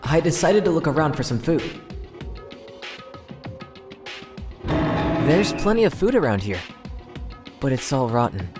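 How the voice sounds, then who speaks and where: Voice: mid-range voice, very animated, normal speed, close to an everyday voice. A performer voicing an earnest teenage schoolboy, narrating his own story dramatically as an inner monologue in an amateur game dub. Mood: puzzled, discouraged. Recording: roomy echo, music underneath.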